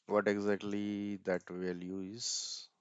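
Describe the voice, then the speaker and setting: A man's voice: on the low side, completely flat delivery, slow pace, clear, resonant tone, no room sound, lo-fi sound. A man giving an informal screen-share tech walkthrough, thinking aloud hesitantly and often repeating words. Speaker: tutorial presenter.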